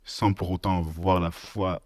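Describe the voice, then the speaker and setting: A man's voice: low-pitched, flat delivery, takes it slowly, dry recording, clean studio sound. A young man in his twenties, from Congo and raised in Belgium, sharing his faith testimony hesitantly with many fillers. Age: 20s. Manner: hesitant, many "euh" fillers, laughs shyly. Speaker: podcast guest. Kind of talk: personal testimony in casual chat.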